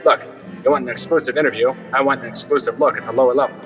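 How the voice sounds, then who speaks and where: An actor playing a brash, wisecracking celebrity-news reporter in a glib, cocky manner for a comedic audio drama. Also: male voice, mid-range voice, steady tone, very fast, big-room sound, close to an everyday voice, poor audio. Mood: cocky, sparring. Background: music.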